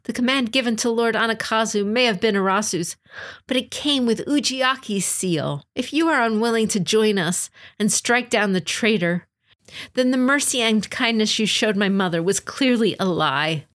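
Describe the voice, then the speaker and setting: A woman's voice: a little high, normal speed, a bit bright, voice pushed up, natural voice. A woman voicing a passionate young female samurai general in an audiobook, defiant and formal in her delivery. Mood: fierce accusation. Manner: impassioned, declamatory, formal. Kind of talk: dramatic audiobook reading.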